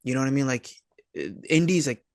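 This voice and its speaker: Male indie filmmaker guest, mid-pitched, dry close recording, slangy casual chat that stops and starts. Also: animated, a little mellow, no room sound. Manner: slangy, hesitant, halting delivery peppered with dude and man. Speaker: filmmaker and actor, interview guest.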